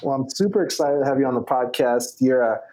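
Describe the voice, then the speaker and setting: A man's voice: mid-range voice, quick pace. A man hosting a podcast interview, asking questions with many "uh" fillers. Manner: relaxed, lots of "uh" fillers. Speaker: podcast host. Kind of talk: interview host.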